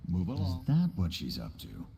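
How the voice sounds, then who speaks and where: An actor playing a worldly, formal elf schemer in a fantasy video game. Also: male voice, sits low, wildly swooping pitch, brisk, dark tone, slightly rough, full character voice. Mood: sly.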